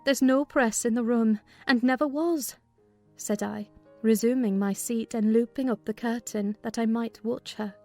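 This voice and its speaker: A woman playing a sensible, scolding housekeeper who narrates a dramatized classic novel, measured in storytelling and firm and brisk in dialogue. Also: on the high side, steady tone, a little mellow, faint music behind. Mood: measured, concerned. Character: sensible, scolding housekeeper recounting her mistress's illness.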